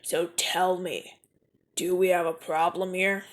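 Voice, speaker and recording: A man voicing a suave, smooth-talking mafia enforcer in an ASMR audio roleplay, teasing and dropping into soft whispers. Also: high voice, level tone, slow pace. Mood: stern. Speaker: mafia man rescuing a newcomer.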